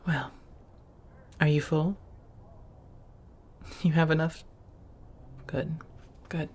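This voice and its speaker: A woman playing an affectionate, teasing vampire roommate in a soft-spoken ASMR roleplay, breathy and intimate, often half-whispering. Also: deep voice, fairly even intonation, very slow, full character voice. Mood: coaxing, tender. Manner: intimate, teasing, coaxing with pet names.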